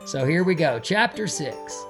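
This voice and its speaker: A man's voice: a little high, expressive, measured pace, loud music behind the voice. A man, an author reading his own storybook and chatting casually around it. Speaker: author and narrator. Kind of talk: storybook narration and casual chat.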